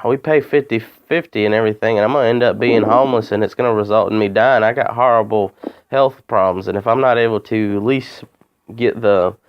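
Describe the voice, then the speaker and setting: A man making a complaint by phone, talking in a halting, rambling way and repeating himself. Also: on the low side, smooth. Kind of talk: phone call, informal account. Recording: muffled phone call recording.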